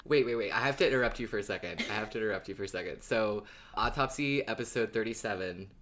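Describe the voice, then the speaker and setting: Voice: mid-range voice, quick pace, a bit bright. A podcast co-host chatting casually and expressively in a fan discussion, swearing freely.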